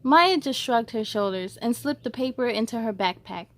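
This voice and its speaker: High-voiced woman reading a storybook aloud. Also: animated, moderate pace, a bit bright. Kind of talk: expressive children's picture book reading with short asides.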